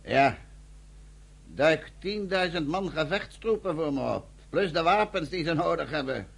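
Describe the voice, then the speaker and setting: An actor playing a weary, grave underground army commander in a radio drama, with a husky, gravelly voice. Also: male voice, a little high, expressive, measured pace, heavily acted. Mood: commanding.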